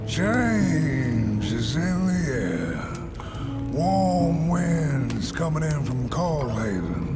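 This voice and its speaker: A man playing a kindly, folksy old watchman of the road in a video game, with a drawling, homespun manner. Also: fairly high pitch. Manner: drawling, folksy, chuckling delivery.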